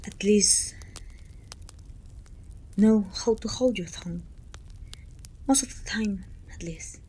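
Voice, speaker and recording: A woman playing a pompous, self-pitying evil queen who talks down to her servant in an intimate, breathy ASMR roleplay, often dropping toward a whisper. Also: medium pitch, slow pace, mellow. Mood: haughty, scolding. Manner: pompous, petulant, theatrical sighing complaints.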